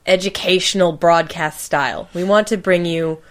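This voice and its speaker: A woman's voice: on the low side, steady tone, moderate pace. A woman co-hosting a podcast in casual banter, with a joking, sarcastic manner. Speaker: podcast co-host.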